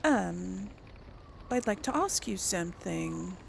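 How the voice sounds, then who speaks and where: A woman doing casual let's play commentary, musing aloud in a halting way. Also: mid-range voice, expressive, vocal fry, slight background noise. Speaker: gaming channel host.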